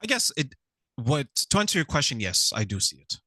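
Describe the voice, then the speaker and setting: A man from Quebec, Canada, answering as a relationship coach in a conversational podcast interview, explaining things in a reflective, measured way. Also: mid-range voice, sing-song, bright, forceful tone, dry recording.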